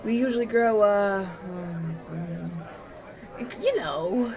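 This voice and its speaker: A man's voice: sits high, drawn-out words, heavily acted. A man playing a polite, nervous young human farm boy in a fantasy audio roleplay, earnest and hesitant. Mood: nervous, friendly. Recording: banquet crowd chatter behind.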